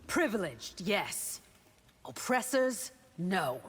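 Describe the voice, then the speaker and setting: An actress playing a devout, principled warrior in a fighting game, earnest and firm. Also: female voice, mid-range voice, big pitch swings, takes it slowly, breathy edge, exaggerated voice. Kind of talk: fighting game character dialogue.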